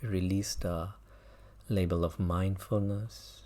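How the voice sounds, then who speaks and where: A man leading a guided mindfulness meditation as a teacher, speaking gently with long pauses between phrases. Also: deep voice, flat delivery.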